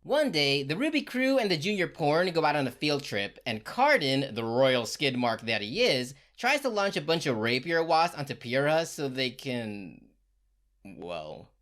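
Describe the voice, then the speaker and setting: A woman with a low-pitched voice narrates a comedy recap in a casual, slangy style. Her intonation swings widely, and she delivers it all with sarcasm and swearing. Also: big pitch swings, unhurried, a bit bright.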